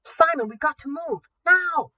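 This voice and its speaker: A woman's voice: high-pitched, lively intonation, a little slow, bright, forceful tone, voice pushed up, full character voice. A woman voicing an impatient teenage girl thief in a storybook, exclaiming urgently. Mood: panicked yelling.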